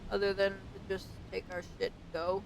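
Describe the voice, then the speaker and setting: A woman giving casual, expressive let's-play commentary as a gaming streamer, informal and prone to swearing. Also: medium pitch, normal speed, vocal fry, slight background noise, low-quality recording.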